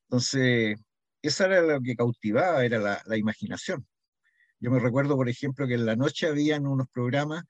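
A man's voice: medium pitch, no echo, highs cut off. Older Chilean man reminiscing in conversation. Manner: explains with examples and frequent check-in questions. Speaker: interview guest, local heritage association member.

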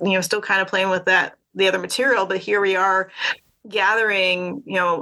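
Woman guest, casual and meandering, bright with a gravelly edge. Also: lowish voice. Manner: thoughtful, meandering, many fillers. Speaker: podcast guest, artist and author. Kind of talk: casual conversation.

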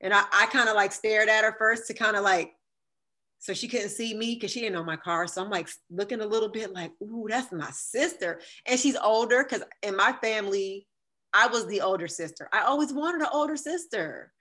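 A woman in her fifties with a deep, sultry voice, telling a personal story as a podcast guest and acting out the quoted dialogue. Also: moderate pace. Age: 50s. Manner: animated, acts out quoted dialogue. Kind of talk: storytelling in a conversational interview.

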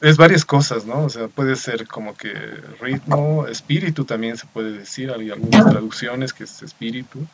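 A man's voice: mid-range voice, moderate pace, hardly any pauses, background noise. A man with a Bolivian accent who hosts a casual chat reviewing a film, rambling with many fillers and tag questions. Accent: Bolivian Spanish.

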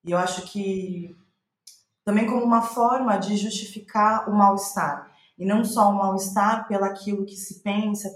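Brazilian woman reflecting in an echoey room. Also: mid-range voice. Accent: Brazilian Portuguese. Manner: hesitant, thinking aloud, restating phrases. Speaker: playwright, podcast guest. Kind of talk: reflective interview talk. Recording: roomy, echoey sound.

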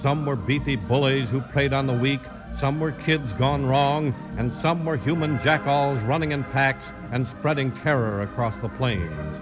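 A man's voice: mid-range voice, measured pace, few pauses, low-quality recording. A man with an American accent announcing in the style of a 1950s radio show. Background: music.

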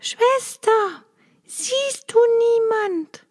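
A woman voicing a terrified young wife who calls out anxiously in a high, frightened voice. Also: very slow, exaggerated voice.

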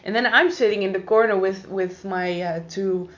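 A woman's voice: medium pitch, fairly even intonation, normal speed. Chatty, teasing woman, lo-fi sound. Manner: chatty, swears casually, teasing. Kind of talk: casual chat. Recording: dull lo-fi sound.

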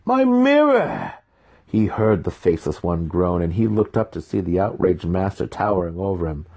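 A man with a deep voice narrates fantasy fiction dramatically. His tone is husky and rough-edged, delivered as a scripted audiobook reading. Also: steady tone, fairly quick, dark tone.

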